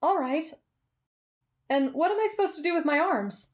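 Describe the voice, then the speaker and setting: Woman as an easygoing young traveller, high voice. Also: lively intonation, moderate pace. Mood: amused, hesitant. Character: easygoing, good-humoured young traveller, friend of the boys. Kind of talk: anime fan roleplay audio.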